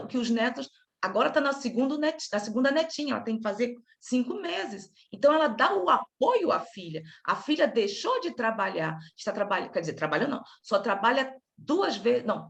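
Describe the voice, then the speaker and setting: A fairly high-pitched woman with a gravelly edge and a Carioca accent leads a relaxed study group. She talks in an animated, meandering way, breaking off and restarting her sentences as she goes. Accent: Carioca Brazilian Portuguese. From Rio de Janeiro, Brazil. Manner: animated, chatty, self-interrupting. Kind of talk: casual group discussion.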